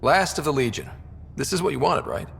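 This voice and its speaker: A man playing a laid-back, teasing young hero in casual video game dialogue, with a creaky voice. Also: medium pitch, wildly swooping pitch, brisk, a bit bright, a little hiss or hum, exaggerated voice.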